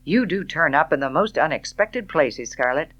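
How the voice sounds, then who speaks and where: A woman voicing a cool, suave gentleman in an audiobook, speaking with mocking, sardonic politeness. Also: low voice, expressive, normal speed, lo-fi sound. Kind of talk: audiobook character dialogue.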